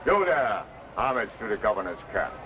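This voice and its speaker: An officious, bullying soldier in an old adventure serial. He barks pompous commands with big rises and falls. The recording has clear vintage noise. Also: lowish voice, big pitch swings, normal speed, muffled recording. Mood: outraged. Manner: barking, pompous orders. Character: bullying, officious male palace guard. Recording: noisy old broadcast recording.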